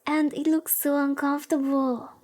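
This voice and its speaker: High-pitched, soft mellow woman streamer reading aloud. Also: little pitch movement, normal speed. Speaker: VTuber streamer. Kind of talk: soothing visual novel read-aloud with chatty commentary.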